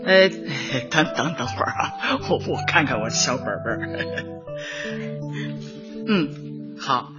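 A woman playing a warm older community Party secretary in a radio drama, chatty and homely, often chuckling and repeating herself. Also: extremely low pitch, lively intonation, slow, pauses often, lo-fi sound, over loud music, exaggerated voice. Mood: attentive, brisk. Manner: chuckling, hesitant fillers, repeats words in homely colloquial talk.

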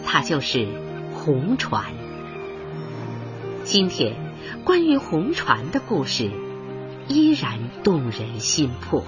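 A man delivers scripted, dramatic narration for a radio play. He speaks slowly and deliberately. The recording is dull, with music clearly playing underneath. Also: on the high side, huge pitch swings. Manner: measured, dramatic storytelling.

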